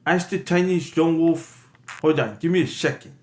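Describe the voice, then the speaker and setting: A man hosting an improvised comedy show, rambling, halting and self-mocking. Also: on the high side, a little mellow, echoey room. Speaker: comedy host. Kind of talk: improvised comic monologue.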